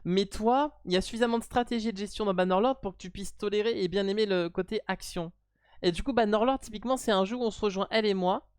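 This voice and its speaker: A woman's voice: mid-range voice, fast talker, a little mellow, smooth, no room sound, clean studio sound. Blunt, teasing French woman streamer. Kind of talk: casual live stream chat.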